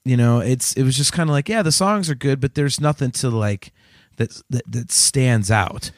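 A man's voice: medium pitch, moderate pace, no echo, clean studio sound. A man co-hosting a music podcast, chatting in an informal, conversational way. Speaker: podcast co-host. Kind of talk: casual chat about music albums.

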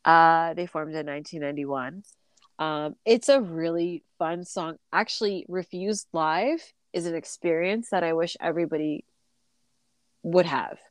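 A woman's voice: deep voice, measured pace, no echo. A woman co-hosting a casual podcast, talking chattily with many fillers and laughs, a little stuffy from a recent illness. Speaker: podcast co-host. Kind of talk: casual chat.

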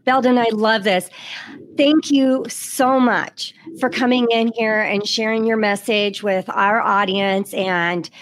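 A woman's voice: a little high, steady tone, takes it slowly, slight background noise. Chatty fifty-something woman podcast host. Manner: chatty, lots of "you know". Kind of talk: podcast interview.

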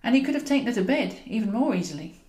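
A woman's voice: on the high side, lively intonation, racing, dark tone. Woman narrating fiction in an echoey room. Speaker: author reading own novel. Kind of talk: expressive audiobook narration of comic romantic fiction.

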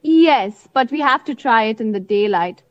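Confident female mission leader for radio play, played by a woman: high voice, instructing, deliberate, slightly dull recording. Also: lively intonation, natural voice. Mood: assured. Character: confident female leader of a covert night exercise, instructing her partner. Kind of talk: audio drama acting.

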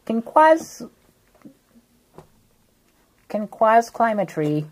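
A woman's voice: on the high side, very animated, extremely slow, low-quality recording. A woman chatting casually as a player in a tabletop game. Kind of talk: casual chat during a game. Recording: clear background noise.

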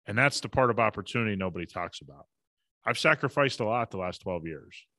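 A middle-aged man talks bluntly and emphatically on a podcast while running a fever. His voice sits low, and the recording is dry and close. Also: animated, fairly quick, bright, forceful tone, no room sound. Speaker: podcast co-host. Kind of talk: casual motivational talk.

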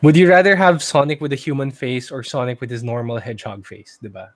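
A man's voice: medium pitch, steady tone, fast. A man with a Filipino accent co-hosting a podcast in a loose, conversational style. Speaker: podcast co-host. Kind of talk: casual chat.

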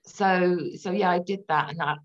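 A woman's voice: fairly low pitch, fairly even intonation, normal speed. Reflective British woman, slightly muffled. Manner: reflective, many ums and restarts. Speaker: guest entrepreneur. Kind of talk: podcast interview.

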